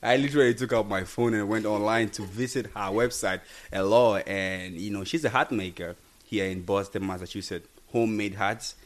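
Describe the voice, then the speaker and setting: A man's voice: on the low side, steady tone, moderate pace. A man hosting an upbeat podcast interview, talking enthusiastically and chiming in with agreement. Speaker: podcast host.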